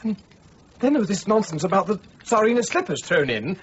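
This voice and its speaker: An actor playing a genteel traveller who talks in a fussy, faltering way in a radio play. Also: male voice, high-pitched, big pitch swings, fairly quick. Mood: amused. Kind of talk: radio drama acting. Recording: muffled lo-fi radio recording, faint background noise.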